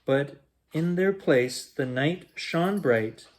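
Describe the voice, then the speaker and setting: A man reading a rhyming picture book aloud as a bedtime story, in a gentle, expressive storytelling manner. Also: on the high side, slow pace. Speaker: children's bedtime story reader.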